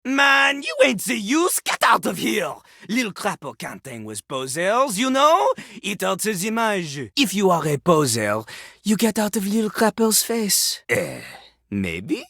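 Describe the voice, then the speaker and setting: A man's voice: high-pitched, wildly swooping pitch, unhurried, creaky, sharp tone, no room sound, studio quality, full character voice. A man voicing a vain, boastful French rapper toilet in a video game, with a French accent and a pompous, theatrical way of talking about himself in the third person. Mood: cocky, dismissive. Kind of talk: video game character acting, bursts of rap.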